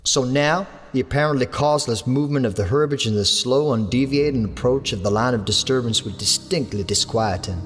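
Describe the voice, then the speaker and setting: An English man reading a horror story aloud as an audiobook narrator, in a measured storytelling manner. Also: slightly rough. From England. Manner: measured storytelling, lifts his pitch for characters' lines. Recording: long hall reverb with soft music under.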